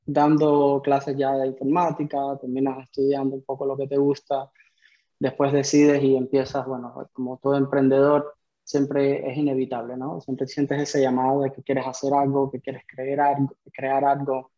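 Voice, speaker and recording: A man in his thirties talks conversationally about his own experiences as an interview guest. His voice is dark and mellow, moving very little in pitch. The recording is a little dull and lo-fi. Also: monotone, moderate pace. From Cuba. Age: 30s. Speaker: podcast guest, entrepreneur. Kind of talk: conversational personal storytelling. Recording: slightly dull, lo-fi audio.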